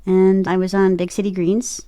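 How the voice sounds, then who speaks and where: A woman's voice: mid-range voice, flat delivery, moderate pace, warm tone, no echo, studio quality. A woman giving a casual interview as a guest, telling personal stories and often quoting her own thoughts as she goes. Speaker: voice actor and podcaster, interview guest.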